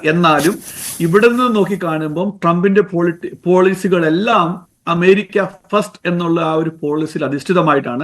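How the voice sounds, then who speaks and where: A man with a Malayali accent giving unscripted live commentary as a news correspondent, haltingly, with frequent English fillers. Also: a little high.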